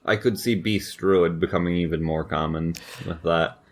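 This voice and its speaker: A man's voice: deep voice, steady tone, moderate pace. A man co-hosting a gaming podcast, chatting about news in a wry, laid-back, informal way. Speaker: podcast co-host. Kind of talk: casual gaming news chat.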